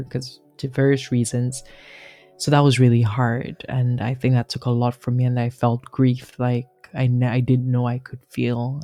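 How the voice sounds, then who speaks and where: A woman who grew up in Nigeria, a guest author talking reflectively in an interview, with frequent pauses and restarts. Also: a bass voice, warm tone. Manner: thoughtful, halting, many restarts and fillers.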